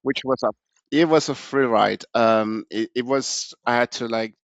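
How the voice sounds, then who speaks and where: A middle-aged man from Austria, a podcast guest telling his career story in a relaxed way, with many fillers and restarts. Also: mid-range voice, a little slow, no echo, muffled recording. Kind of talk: casual interview storytelling.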